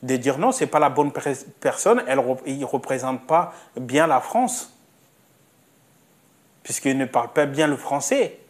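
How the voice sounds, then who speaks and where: A man's voice: mid-range voice, sing-song, a little slow. A man delivering a spoken opinion monologue, insistent, repeating himself and asking rhetorical questions. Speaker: commentator.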